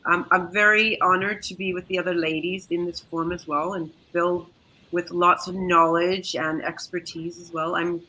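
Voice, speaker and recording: Bright-voiced Canadian woman. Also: lowish voice, level tone, moderate pace, slight background noise, low-quality recording. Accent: Canadian English. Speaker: panelist, business consultant. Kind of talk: polite panel introduction. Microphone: video call.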